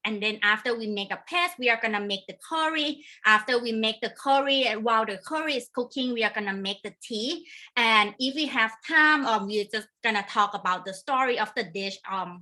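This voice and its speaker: A woman's voice: fairly high pitch, bright, forceful tone. A woman from Thailand with a Thai accent, teaching an online cooking class over a video call, talking in a friendly way with frequent ums.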